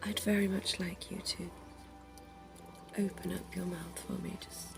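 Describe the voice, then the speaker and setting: A woman voicing a suave, centuries-old vampire dentist in an ASMR roleplay, whispering softly with genteel, teasing charm. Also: on the low side, flat delivery, gravelly, music in the background. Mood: soothing. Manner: soft whispering, slow and intimate; genteel and teasing, quiet chuckles.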